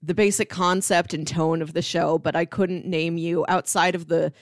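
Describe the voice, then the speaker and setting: A woman's voice: lowish voice, steady tone, moderate pace, no room sound, clean studio sound. A woman co-hosting a podcast, talking in a casual conversational style. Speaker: podcast co-host. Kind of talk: casual chat about TV sitcoms.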